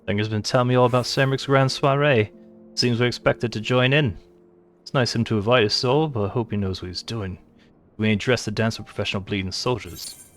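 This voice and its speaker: Rough, folksy fantasy soldier voiced by a man. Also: mid-range voice, steady tone, brisk, soft background music, lightly acted. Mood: folksy, wry. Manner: colloquial, dropped grammar, blunt.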